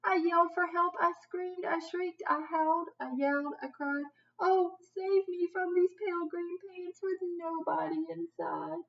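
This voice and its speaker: A woman's voice: very high voice, little pitch movement, measured pace. A woman in her thirties reads a children's picture book aloud expressively and dramatically, like a teacher putting questions to young listeners. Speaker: children's story reader. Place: small home office, indoors. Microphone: computer webcam at desk distance. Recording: dull webcam audio, distant from mic.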